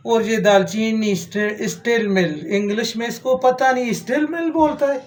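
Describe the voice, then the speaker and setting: A man's voice: high voice, normal speed. A middle-aged man, hosting a home cooking video in a folksy, rambling way, full of homely advice and blessings. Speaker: cooking channel host. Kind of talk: casual home cooking vlog.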